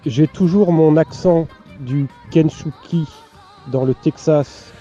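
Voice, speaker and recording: A man playing a naive letter-writer who dictates slowly and earnestly, speaking the punctuation aloud. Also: a little high, warm tone. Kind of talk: improvised comic letter. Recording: slightly muffled, faint music behind.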